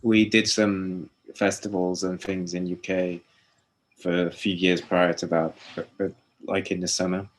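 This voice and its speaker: A man's voice: deep voice, level tone, unhurried, rough recording. A man from England who later lived in Ukraine, a musician guest explaining things plainly in an interview. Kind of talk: casual interview chat.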